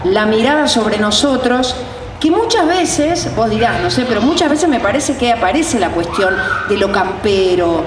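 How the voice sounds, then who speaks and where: A woman's voice: fairly high pitch, expressive, moderate pace. A woman with an Argentine accent interviewing in a conversational, reflective way, thinking aloud with hesitant, roundabout phrasing. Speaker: interviewer, theatre director. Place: outdoors on a neighbourhood sidewalk. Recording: very noisy street, distant echoing mic. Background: loud street and train noise.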